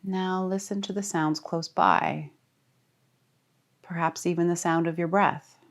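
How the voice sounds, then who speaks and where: A woman leading a guided relaxation as a yoga teacher, speaking calmly and soothingly with deliberate pauses. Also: lowish voice. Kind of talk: guided meditation.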